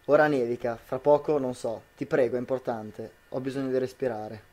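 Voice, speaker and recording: A man's voice: mid-range voice, steady tone, a little fast, darkish tone, close to an everyday voice. A young man playing a troubled, disbelieving husband in an amateur radio drama. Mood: earnest, troubled. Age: young adult.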